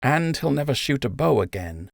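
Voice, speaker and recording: Man voicing a high-pitched, wry young female rabbit healer. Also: sing-song, normal speed, creaky, no room sound, studio quality. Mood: gentle. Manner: wry, sarcastic, reassuring.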